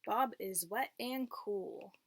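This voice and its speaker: A woman's voice: medium pitch, takes it slowly. A woman reading a picture book aloud to young children, teacher-like, in simple phrases and checking in with questions.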